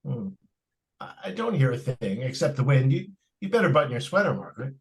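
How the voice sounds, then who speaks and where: A man's voice: medium pitch, sing-song, brisk. A man plays a skeptical middle-aged father in 1940s radio style. His delivery swoops up and down, indulgent and dismissive, with little hesitant stammers. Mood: indulgent, doubtful. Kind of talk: 1940s radio drama acting.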